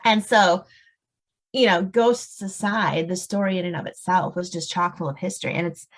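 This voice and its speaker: A woman's voice: lowish voice, fairly even intonation, moderate pace. A woman, talking casually as an author being interviewed. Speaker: author guest on a podcast.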